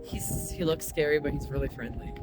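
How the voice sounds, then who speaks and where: A man from Croatia who drives trucks, answering interview questions earnestly with frequent pauses. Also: on the high side, steady tone, over loud music.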